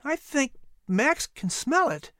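A man's voice: extremely high pitch, animated, measured pace, fairly bright tone, no room sound, studio quality, voice pushed up, exaggerated voice. A man voicing a curious young boy who answers carefully and eagerly. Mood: nervous.

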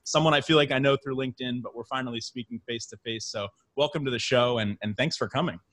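A man's voice: medium pitch, fast talker, a bit bright. A young man hosting a sales podcast, eager and chatty, full of fillers and restarts as he introduces his guest. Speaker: podcast host. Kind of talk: conversational interview with sponsor read.

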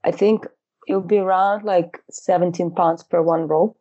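Mellow woman, hesitant. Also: fairly low pitch, fairly even intonation, measured pace, lo-fi sound. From Moscow, Russia. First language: Russian. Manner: hesitant, many fillers and restarts, light laughs. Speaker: guest, fashion photographer. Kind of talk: casual interview chat.

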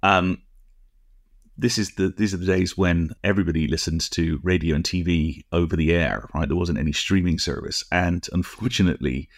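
A middle-aged or older British man co-hosting a casual podcast, explaining things in a halting, discursive way with frequent asides. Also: deep voice, fairly even intonation, no echo, clean studio sound. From United Kingdom. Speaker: podcast co-host.

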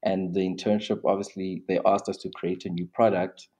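A man's voice: sits low, little pitch movement, moderate pace, dark tone. A young South African man talking casually as an interview guest, rambling with many restarts and fillers.

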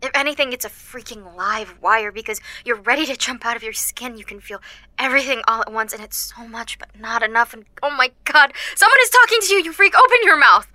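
An actress playing an anxious, self-deprecating teenage girl with superpowers in an audio drama, speaking in a halting, confiding way. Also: on the high side, lively intonation, bright, forceful tone, no room sound. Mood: frantic, building. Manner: confiding, halting, with nervous laughs and sighs. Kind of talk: first-person audio drama narration and dialogue.

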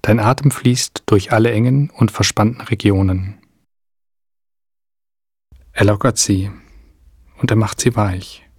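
A man narrating a guided meditation in a calm, soothing, measured way with long pauses, his voice soft and slightly husky. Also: fairly low pitch, no room sound, clean studio sound.

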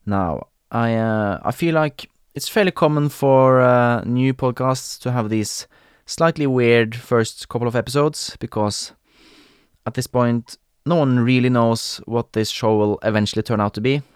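A young man from Norway hosting a podcast in English with a Norwegian accent, talking casually with frequent pauses and fillers. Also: medium pitch, smooth, dry recording, studio quality. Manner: hesitant, many fillers and restarts, laughs often. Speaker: podcast host. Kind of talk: casual solo podcast talk.

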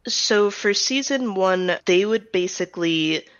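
A woman's voice: mid-range voice, animated, slow, dry recording. A woman answering interview questions as a writer and director, explaining things step by step in a conversational way. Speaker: audio drama writer and director, guest. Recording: slightly dull, band-limited close recording.

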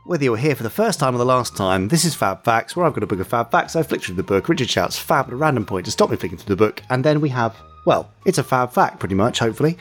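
A man's voice: medium pitch, animated, fast talker, hardly any pauses, faint music behind. A man hosting a podcast, telling trivia in a chatty, playful, bantering way. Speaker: podcast host. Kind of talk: chatty trivia segment, semi-scripted storytelling.

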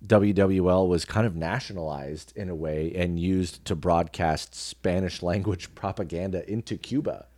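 Deep-voiced man in a reflective interview. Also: moderate pace, few pauses, warm tone, no room sound, clean studio sound. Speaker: podcast guest, radio personality and actor. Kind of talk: casual conversational interview.

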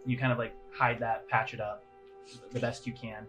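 A man runs a tabletop role-playing game as game master. He describes scenes in a loose, halting, conversational way, improvising as he goes, with music playing underneath. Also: medium pitch, level tone. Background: background music.

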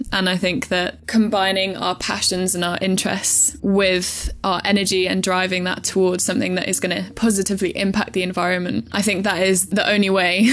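A woman in her twenties from North Wales, speaking earnestly and thoughtfully. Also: mid-range voice. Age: 20s. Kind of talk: personal reflection.

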